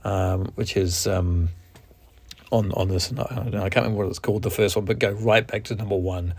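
A man in his forties with a New Zealand accent, hosting his own podcast in a reflective, halting way with frequent restarts. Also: fairly low pitch, steady tone, vocal fry, dry recording. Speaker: podcast host. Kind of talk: candid solo podcast monologue.

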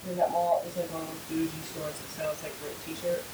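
A woman's voice: deep voice, flat delivery, fairly quick. A woman co-hosting a casual podcast, breezy and playful with slang. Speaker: podcast co-host. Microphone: cheap microphone. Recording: distant cheap mic with faint hiss.

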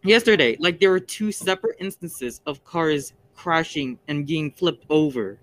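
A teenage boy co-hosting a casual chat, telling stories in an animated, excitable way. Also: fairly high pitch, unhurried. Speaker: co-host.

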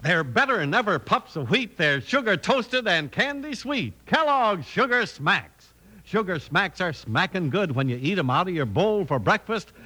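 A man's voice: sits high, sharp tone, breathy edge. A man with an American accent announcing in scripted 1950s radio style, with emphatic, polished salesmanship. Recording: vintage radio recording, music behind. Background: music.

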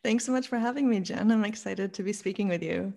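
A Canadian woman in her forties speaks with a noticeably creaky edge at a middle pitch. She tells personal stories in a chatty, casual way, as a guest on a podcast. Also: fast, warm tone. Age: 40s.

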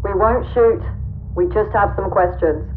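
A firm, controlled female squad leader in a sci-fi audio drama. Her medium-pitched voice comes through a thin, tinny radio filter with faint noise as she questions. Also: unhurried. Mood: calming, firm.

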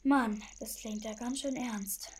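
A woman playing a wary, teasing young detective sidekick in a children's audio drama, with a wry, expressive manner. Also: fairly high pitch, takes it slowly, a little hiss or hum. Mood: uneasy.

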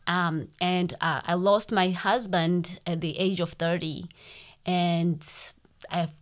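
A woman's voice: fairly low pitch, slow pace. Zimbabwean woman telling her story. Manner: animated, acts out quoted speech. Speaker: podcast co-host. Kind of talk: casual personal storytelling.

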